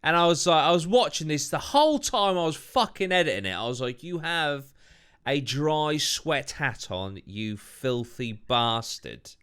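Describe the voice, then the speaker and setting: A man's voice: fairly high pitch, expressive, unhurried, a bit bright, smooth. A man casually chatting and reacting to his own paranormal video as host, rambling and swearing. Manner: laid-back, swears a lot, rambling. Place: home room.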